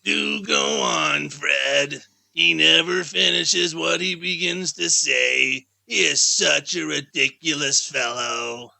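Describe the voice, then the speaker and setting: A man voicing a disapproving, impatient young Victorian wife in a drawn-out, scolding manner for a storybook. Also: on the high side, vocal fry, bright, forceful tone, no room sound, full character voice.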